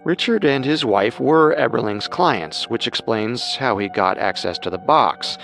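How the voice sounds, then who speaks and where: A man co-hosting a podcast, reading scripted true-crime narration in a measured storytelling manner. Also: mid-range voice, animated, gravelly, a bit bright, soft background music.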